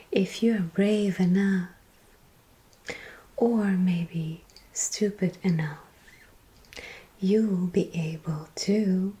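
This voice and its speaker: A woman voicing a teasing female vampire who tells scary stories in a soft, whispered ASMR roleplay. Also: medium pitch.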